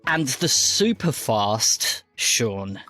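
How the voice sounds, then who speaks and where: A man's voice: mid-range voice, very animated, very slow, pushed and bright, soft background music. A man hosting a casual podcast as game master, chatting and recapping.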